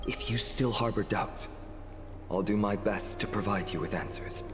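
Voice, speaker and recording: An actor playing a gracious, solemn young warrior hero in a video game, speaking in a measured, formal and sincere way. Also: male voice, on the low side, in a large hall, over music, natural voice. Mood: earnest, inviting.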